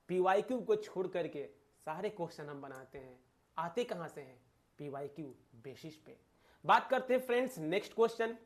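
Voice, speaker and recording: A man with an Indian accent giving an informal exam-prep lecture to viewers, emphatic, using rhetorical questions and repeated phrases. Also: high-pitched. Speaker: exam-prep teacher and channel host.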